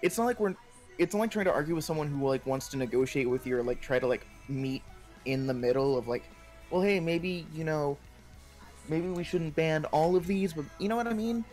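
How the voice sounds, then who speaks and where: A man's voice: a little high, lively intonation, brisk, darkish tone, soft background music. An American man co-hosting a casual chat stream, talking in a conversational, hedging way. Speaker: stream co-host.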